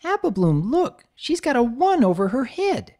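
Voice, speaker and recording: A woman voicing a timid, excitable young filly unicorn with a squeaky, breathless voice in a dramatic reading. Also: moderate pace. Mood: alarmed.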